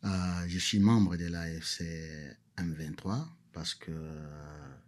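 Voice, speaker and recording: A man's voice: a bass voice, slow. A male professor from South Kivu, a Mashi speaker, answering interview questions hesitantly and then with emphatic repetition. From South Kivu, DR Congo. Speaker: guest, professor.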